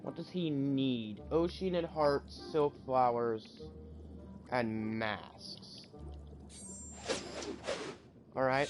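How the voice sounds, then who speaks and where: A man doing casual gameplay commentary as a streamer, thinking aloud and muttering through lists that trail off. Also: medium pitch. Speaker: game streamer. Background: game music.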